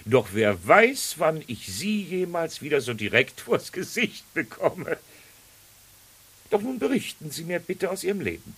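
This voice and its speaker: An actor playing a sly cannibal monster in a radio drama, questioning victims with mock courtesy and a husky, theatrical, insinuating delivery. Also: male voice, a little high, very animated, measured pace, a bit bright, full character voice. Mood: mock-courteous. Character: sly cannibal monster who politely interrogates his victims.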